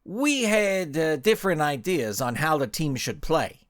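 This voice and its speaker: A nervous male soccer coach in an audio story, as a man reads him. He speaks haltingly, tripping over his first words. The pitch sits high and moves around anxiously. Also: very animated, a bit bright. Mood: nervous, evasive. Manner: stammering, hesitant.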